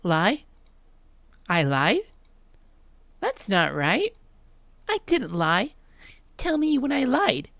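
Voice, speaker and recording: A woman's voice: medium pitch, wildly swooping pitch, very slow, creaky, pauses often, no room sound, exaggerated voice. A woman voicing an eerie, detached, childlike and unhinged girl in a visual novel, with hollow delivery. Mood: detached, taunting. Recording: loud music under voice.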